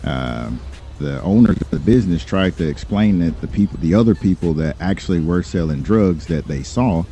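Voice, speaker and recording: A man playing a composed, sharp lawyer with a quiet crime-boss side in online roleplay, speaking in measured, formal phrases. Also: low voice, animated, a little hiss or hum. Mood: measured, mildly indignant. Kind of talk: improvised in-character online roleplay dialogue.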